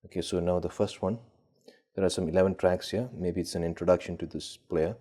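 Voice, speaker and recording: A man with a low, dark and slightly husky voice talks through a casual hands-on demo. He sounds hesitant and unscripted, as if thinking aloud while he works. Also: steady tone, brisk. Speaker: gadget collector and video host.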